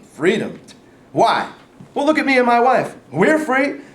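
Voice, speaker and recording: Man acting a folksy farmer, high swooping voice. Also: wildly swooping pitch, unhurried, a bit bright, full character voice. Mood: gruff, scornful. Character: poor, weary, bigoted 1850s male sharecropper. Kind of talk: staged reading of a period play. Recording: echoey room, faint noise, live stage.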